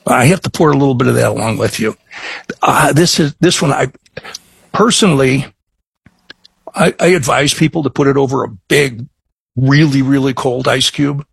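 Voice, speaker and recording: A middle-aged man from Chicago with a Chicago accent, chatting as a guest in an interview, halting with many restarts and fillers. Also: medium pitch, creaky, breathy edge, no room sound. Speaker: craft distiller guest. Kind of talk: casual interview chat.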